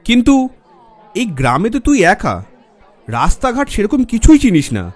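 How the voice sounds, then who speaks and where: An actor playing a caring, nagging young bridegroom friend in a horror audio drama. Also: male voice, extremely high pitch, wildly swooping pitch, normal speed, heavily acted. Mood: worried, cautioning. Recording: music and faint crowd behind. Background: music, faint crowd murmur.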